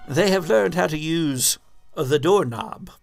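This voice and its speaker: Male game master, slightly high voice, gravelly creak, measured horror storytelling, pausing. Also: animated, faint music behind. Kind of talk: narrating a tabletop horror game, with casual asides.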